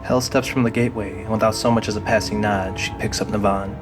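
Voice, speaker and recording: A man's voice: fairly low pitch, fairly even intonation, fast. A man reading scripted, dramatic narration for an audio drama. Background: music.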